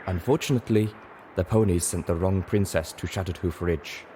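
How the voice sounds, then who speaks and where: A man's voice: low voice, moderate pace, warm tone, a little hiss or hum, natural voice, rough recording. A man voicing a weary, reasonable zebra mare, earnest and sad, with careful formal phrasing.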